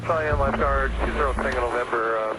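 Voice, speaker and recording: A man's voice: medium pitch, quick pace, very noisy. A man speaking as a pilot over aircraft radio, in clipped, hesitant phrases. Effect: aircraft radio transmission. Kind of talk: aviation radio calls. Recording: radio audio under loud music.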